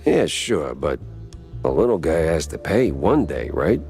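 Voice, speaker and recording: A world-weary mouse private eye from a noir video game. The voice sits low and swings expressively through dry, deadpan lines. Faint music plays underneath. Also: male voice, a little slow, full character voice. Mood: dry, wry. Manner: deadpan, hard-boiled. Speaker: detective narrator and lead character. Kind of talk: film noir game dialogue and narration.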